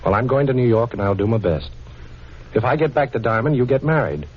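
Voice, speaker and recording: An actor playing a cocky, chivalrous amateur sleuth in a 1940s radio crime drama, breezy and reassuring. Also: male voice, mid-range voice, animated, fairly quick, close to an everyday voice. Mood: brisk, confident. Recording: muffled, noisy 1940s radio recording.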